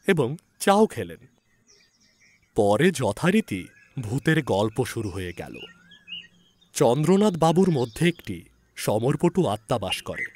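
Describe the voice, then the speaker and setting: A man's voice: mid-range voice, darkish tone. A man narrating a literary story as a scripted dramatic reading.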